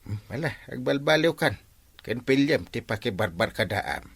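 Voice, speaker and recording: A man's voice: mid-range voice, animated, normal speed, vocal fry, fairly bright tone, breathy edge, no echo, exaggerated voice. An actor playing a grumbling, admonishing village man in a Filipino radio comedy drama, with an Ilocano accent.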